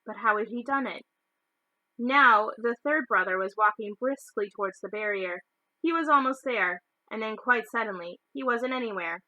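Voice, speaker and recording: A woman's voice: fairly high pitch, moderate pace, bright, forceful tone, no room sound, rough recording. A woman reading a children's novel aloud as a storyteller. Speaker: storybook reader.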